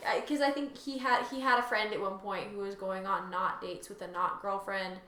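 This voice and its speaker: A woman's voice: medium pitch, steady tone, moderate pace, pushed and bright, roomy sound. A woman co-hosting a casual podcast, talking informally in tentative, loosely phrased thoughts. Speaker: podcast co-host. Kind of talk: casual chat.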